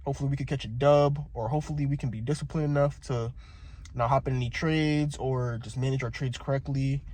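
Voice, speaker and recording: Laid-back slangy young man, mid-pitched. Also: steady tone, fairly quick, darkish tone. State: congested with a sore throat. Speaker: day trader vlogger. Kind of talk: casual vlog chat.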